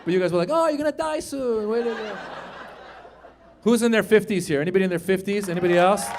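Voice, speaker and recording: A man in his fifties performing a stand-up comedy set in a club, chatty and teasing the crowd, swinging loud for punchlines. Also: sits high, fast, slight background noise. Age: 50s. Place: comedy club stage with live audience. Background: audience laughter.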